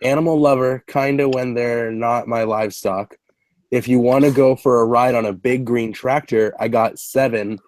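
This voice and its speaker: A man's voice: medium pitch, fairly even intonation, normal speed, a little mellow, no echo. A man of twenty-one from Canada introducing himself and joking with others in a casual group video call. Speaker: online game contestant.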